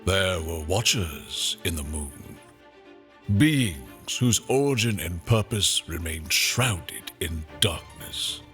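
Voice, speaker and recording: A grave, mysterious storyteller for an audio drama. His voice is deep and unhurried, with soft music underneath. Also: expressive, full character voice. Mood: ominous, reflective. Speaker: narrator. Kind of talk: dramatic scripted narration.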